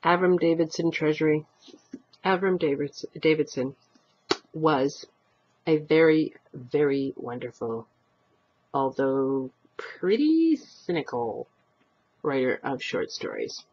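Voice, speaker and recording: A woman narrates a short story at an unhurried pace. Her voice is husky and moves expressively up and down. The recording is a little dull and lo-fi. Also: low-pitched, expressive. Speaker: amateur story reader.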